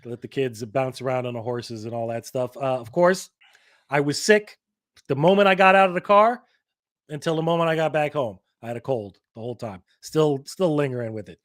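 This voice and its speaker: A man's voice: medium pitch, fairly quick. Laid-back man chatting football. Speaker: co-host. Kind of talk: casual match chat.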